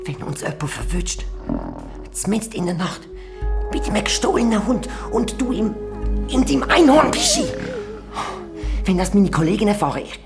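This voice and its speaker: A woman's voice: mid-range voice, wildly swooping pitch, normal speed, long pauses, music in the background. A woman playing a reluctant, sulky young boy detective with a Swiss German accent in a children's audio drama. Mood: grumbling, embarrassed. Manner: sulky, exasperated.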